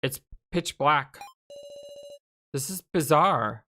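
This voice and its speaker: A woman's voice: sits low, expressive, slow, pushed and bright, exaggerated voice. A woman voicing a frightened, anxious teenage schoolgirl in a horror game, hesitant and emotive. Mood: uneasy.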